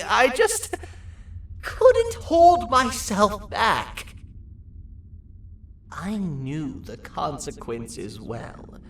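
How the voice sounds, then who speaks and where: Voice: medium pitch, a bit bright, far from the mic, full character voice. An actor voicing a nervous, guilt-ridden cartoon mascot creature in a video game, hesitant and apologetic. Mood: remorseful, hesitant. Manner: hesitant, apologetic, erratically swooping. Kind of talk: video game character dialogue. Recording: echoey, off-mic game audio.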